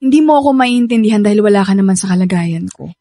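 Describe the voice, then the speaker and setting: A woman's voice: medium pitch, expressive, fast talker, warm tone, no room sound, clean studio sound, lightly acted. An actress playing a defensive young woman torn in love, with a Filipino accent, in a radio drama. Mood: upset, defensive. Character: young woman daughter torn between two loves, defensive.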